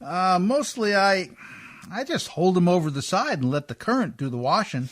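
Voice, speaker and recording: Man acting a husky, folksy riverboat fellow. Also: high-pitched, expressive, moderate pace, a bit bright. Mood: folksy, easygoing. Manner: ungrammatical folksy speech, deferential. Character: rough, folksy, polite riverboat man, eager to please. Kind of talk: old-time radio play acting.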